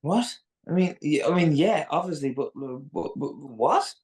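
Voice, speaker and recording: A woman performs a panicked character trapped in danger in a screenplay drama. The pitch swings widely, yet the pace is slow, broken by stammers. The tone is incredulous and exasperated. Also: lively intonation, darkish tone. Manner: stammering, exasperated, foul-mouthed. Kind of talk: dramatic live screenplay table read.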